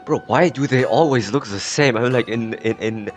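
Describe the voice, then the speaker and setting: A man's voice: mid-range voice, normal speed, faint music behind. A man giving casual, slangy, bemused commentary as he plays a game. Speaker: game streamer.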